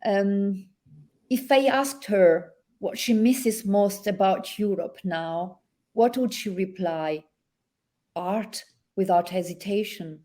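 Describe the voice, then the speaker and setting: A woman's voice: mid-range voice, takes it slowly, dark tone. Italian woman author, thoughtful and hesitant. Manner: thoughtful, hesitant with many fillers. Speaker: author guest. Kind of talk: interview and reading from her novel.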